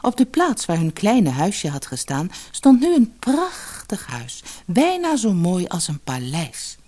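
A woman reading a scripted children's fairy tale as an expressive, measured narrator. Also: fairly low pitch. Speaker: storyteller, narrator.

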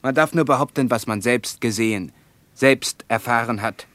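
A man's voice: mid-range voice, moderate pace, a bit bright, dry recording, close to an everyday voice. An actor playing a zealous, scornful Soviet Russian man in a radio play, lecturing emphatically. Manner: emphatic, lecturing, evasive under questioning. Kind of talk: mid-20th-century German radio play dialogue.

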